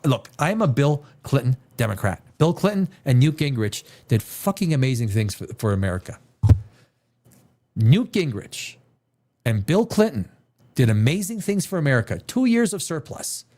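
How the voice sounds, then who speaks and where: A man's voice: medium pitch, a little mellow. Blunt, profane man ranting. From Canada. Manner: blunt and profane, with emphatic repetition. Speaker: livestream host. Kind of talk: opinionated livestream chat.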